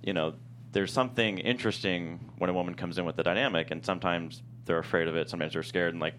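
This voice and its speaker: A man's voice: low voice, fairly even intonation, fast, fairly bright tone. A man speaking as a screenwriter in a casual, reflective interview about his work. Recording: faint hum and background noise.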